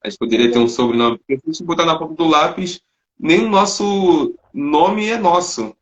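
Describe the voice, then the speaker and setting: A man's voice: on the high side, normal speed, dull audio. A Brazilian man hosting an interview, talking in a reflective, conversational way. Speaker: host. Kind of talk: interview podcast.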